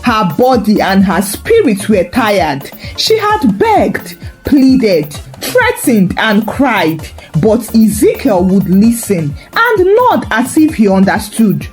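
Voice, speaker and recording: A woman's voice: medium pitch, wildly swooping pitch, slow pace, hardly any pauses, darkish tone, soft background music. A woman narrating a scripted story with wry, dramatic emphasis.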